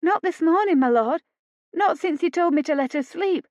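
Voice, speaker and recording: A woman's voice: very high-pitched, expressive, normal speed, darkish tone, no echo, voice pushed up. A woman voicing a frightened young housemaid who stammers timidly. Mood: nervous. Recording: dull lo-fi audio.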